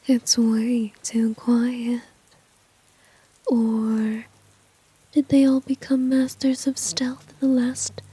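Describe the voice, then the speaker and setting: A dominant, flirtatious villainess acted by a woman murmurs in a very breathy, mellow whisper, close and dry. She takes her time, coy and mockingly condescending, in an intimate ASMR roleplay. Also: a little high, no echo, exaggerated voice, rough recording. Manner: slow, coy, mocking, drawn-out teasing.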